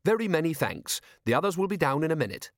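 A man as a chipper, high-pitched young officer. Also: sing-song, fast talker, dry recording, clean studio sound. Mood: cheerful. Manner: bright, courteous, chipper. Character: cheerful, unfailingly polite upright young male officer.

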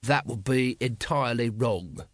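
A man's voice: mid-range voice, measured pace, no echo, studio quality, natural voice. A man voicing a weary, exasperated police captain with a hesitant, snappish authority, in a fantasy storybook. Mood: disapproving.

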